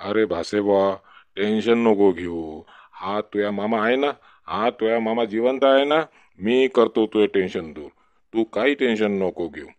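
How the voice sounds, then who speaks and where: Cartoon village drunkard uncle male actor, low voice, Varhadi Marathi accent; boastful, cajoling, rambling, dry close sound. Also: unhurried, darkish tone, dry recording, dull audio, lightly acted. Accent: Varhadi Marathi (Vidarbha). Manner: rambling, cajoling, repetitive tag questions. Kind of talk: comic village cartoon dialogue.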